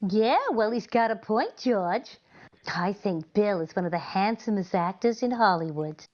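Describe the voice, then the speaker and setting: A woman's voice: mid-range voice, normal speed, a little mellow, highs cut off, natural voice. A woman playing a scatterbrained, naive and sincere housewife in 1940s-style radio comedy. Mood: sweetly earnest. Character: scatterbrained, earnest, cheerfully illogical 1940s housewife.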